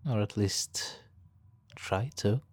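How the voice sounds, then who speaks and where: A man playing a suave, teasing, hypnotically controlling supervillain in a soft, intimate ASMR roleplay, condescending and purring, breathy in places. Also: fairly low pitch. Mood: smug, teasing. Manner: condescending, purring, drawn-out pauses, soft chuckles.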